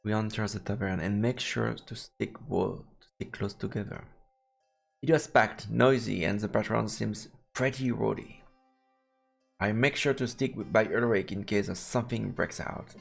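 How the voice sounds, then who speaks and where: A man hosting a live let's play, reading game text aloud haltingly and adding playful muttered commentary. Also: on the low side, creaky. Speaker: streamer, let's play host. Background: game music.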